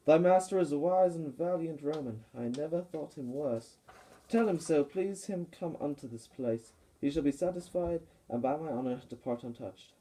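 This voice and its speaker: A man's voice: fairly high pitch, normal speed, warm tone, lightly acted, rough recording. A man playing an earnest, honourable Roman conspirator in a puppet-show Shakespeare, speaking formal, declamatory verse persuasively. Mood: reassuring, formal.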